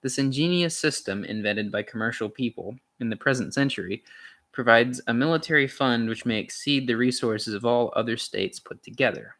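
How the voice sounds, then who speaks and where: Man, medium pitch, amateur read-aloud of an essay, halting, self-correcting, casual. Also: fairly bright tone. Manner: halting, stumbles and corrects himself. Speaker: amateur reader of a philosophy essay.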